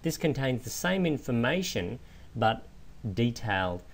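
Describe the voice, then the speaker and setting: A man giving a methodical, explanatory software walkthrough in the manner of a trainer. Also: mid-range voice, unhurried. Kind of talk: instructional screen walkthrough narration. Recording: clear steady background noise.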